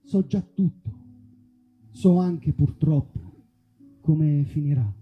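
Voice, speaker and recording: A man's voice: a little high, takes it slowly, soft background music, voice pushed down. Man as a lovesick, melancholy fairy-tale wolf, dull recording. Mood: melancholy resignation. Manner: theatrical, yearning, solemn. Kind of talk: dramatic love-letter monologue.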